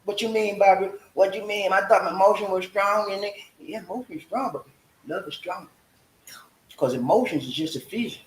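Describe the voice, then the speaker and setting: A man chats with his viewers like a folksy preacher. His voice sits a bit high for a man. The room is echoey, with a faint hiss under it. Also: a little fast. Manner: folksy, preachy, breaks into singing. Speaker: vlog host. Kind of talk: casual livestream chat.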